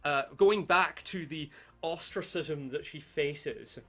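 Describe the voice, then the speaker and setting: A man co-hosting a film podcast in casual chat, halting and rambling, with casual swearing. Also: a little high, a bit bright. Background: background music.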